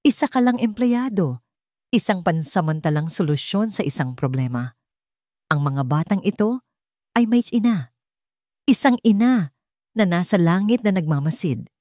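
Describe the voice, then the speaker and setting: A stern rich grandmother, as a female narrator puts her on for an audio love story. She is imperious and grieving, guarding her family with cold, commanding warnings. The voice sits fairly low. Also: animated, moderate pace, pauses often, mellow, no echo, clean studio sound, natural voice.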